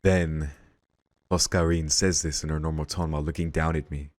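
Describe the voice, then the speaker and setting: A man hosting a let's play, reading visual novel narration aloud in a measured storytelling way. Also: rumbling low, flat delivery, a little mellow, a little hiss or hum. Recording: game music behind the voice. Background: game music.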